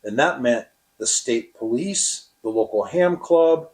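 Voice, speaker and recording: A man explaining his experience as a radio operator in an interview, with halting, deliberate phrasing. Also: medium pitch, expressive, a little mellow.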